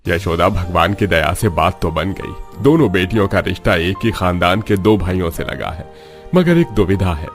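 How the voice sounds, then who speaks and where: A man voicing a pious middle-aged father in a cartoon family story, deliberate and a little hesitant. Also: sits low, lively intonation, soft background music.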